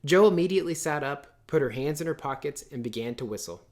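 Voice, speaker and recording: A man, a teacher, narrating a storybook aloud in an expressive read-aloud style. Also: fairly high pitch, fast talker.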